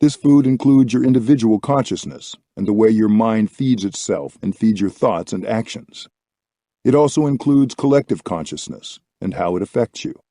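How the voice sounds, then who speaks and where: A man reading a reflective book as scripted narration, with measured, deliberate phrasing. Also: lowish voice, mellow, dry recording, clean studio sound. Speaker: audiobook reader.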